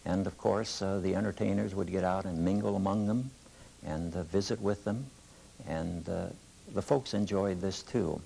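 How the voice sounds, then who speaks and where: Very gravelly, deep older man. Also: normal speed, mellow, rough recording. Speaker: interviewee recalling the past. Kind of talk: casual oral-history reminiscence. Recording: faint tape hiss.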